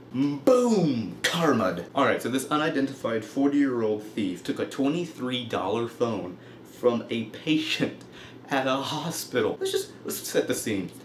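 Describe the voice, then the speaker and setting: A young man with a fairly low voice comments on the news casually and humorously. He is informal and wry, leaning into mock-emphatic asides. The recording has a clear room echo and faint noise behind him. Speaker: host of a comedic news web show.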